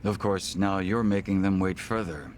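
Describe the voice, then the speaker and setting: An actor playing a polite, coolly menacing gangland henchman in an English video game dub, speaking in a formal, smug, measured manner. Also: deep voice, fairly even intonation, darkish tone, a little hiss or hum, close to an everyday voice. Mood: smooth, smug.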